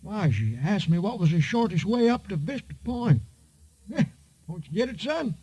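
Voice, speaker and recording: An actor playing a folksy, sly old car lot attendant in a radio drama, with a husky voice and a drawling rural American accent. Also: male voice, sits high, big pitch swings, normal speed, warm tone, heavily acted. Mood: sly, amused. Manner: drawling, homespun, chatty. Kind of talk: 1950s radio drama acting. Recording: muffled vintage radio recording.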